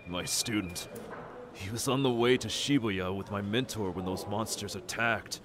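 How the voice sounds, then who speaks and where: A man voices a worried, kindly middle-aged mentor from an anime dub. His voice sits low, earnest and halting. A bustle of people is heard behind him. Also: a crowd in the background, natural voice. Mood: worried, sorrowful. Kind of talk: anime comic dub acting.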